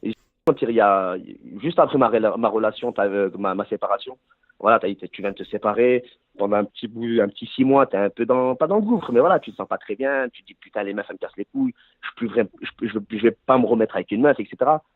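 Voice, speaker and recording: Fast-talking thirties man on a phone line. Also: lowish voice, no echo. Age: 30s. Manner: fast, storytelling, self-correcting. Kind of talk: casual debate over a phone call. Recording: tinny phone line, dry.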